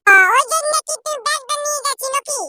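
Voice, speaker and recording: A rowdy young village lad from a comedy cartoon, speaking in a Purulia accent. The voice is artificially pitched up to an extremely high, childlike squeak. He banters in brash, grumbling slang while music plays clearly behind him. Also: flat delivery, fast talker. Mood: brash, bantering. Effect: pitched-up voice filter. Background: loud music.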